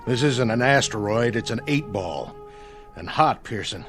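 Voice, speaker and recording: A man's voice: medium pitch, lively intonation, measured pace, music in the background. A man playing a bitter, selfish crewman desperate to survive in a radio drama, with a sardonic and confrontational manner. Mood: bitter, weary.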